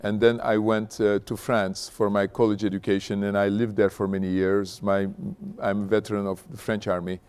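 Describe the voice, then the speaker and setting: A middle-aged man from Turkey, speaking informally to an audience as a guest speaker in a halting, conversational way, often adding "you know". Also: fairly low pitch, little pitch movement, a little mellow, clear, resonant tone. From Istanbul, Turkey. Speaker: company founder, guest speaker. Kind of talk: informal autobiographical talk to an audience.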